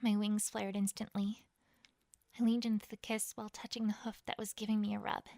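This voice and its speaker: A woman's voice: medium pitch, little pitch movement, a little fast, vocal fry, warm tone, no room sound, studio quality. A woman reading a first-person fantasy story as a dramatic narration. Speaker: fan fiction reader.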